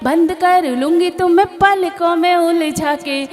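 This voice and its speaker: A woman poet at a mushaira, singing her verses in a drawn-out lilt into a stage microphone and chatting with the crowd between them. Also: extremely high pitch, a little mellow. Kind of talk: sung poetry recital. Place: outdoor evening poetry stage. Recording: long reverb, faint music.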